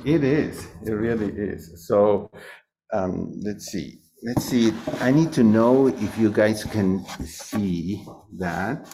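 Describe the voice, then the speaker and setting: A man in his fifties, a guest cook demonstrating a recipe in a halting, casual way. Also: fairly low pitch, echoey room, background noise. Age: 50s. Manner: halting, many fillers and restarts.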